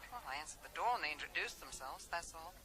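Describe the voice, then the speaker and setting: An actress playing a sharp, candid American wife of a missing man, brisk and wry, in a radio drama. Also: deep voice, expressive. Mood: wry, alert. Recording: noisy hiss, old radio recording.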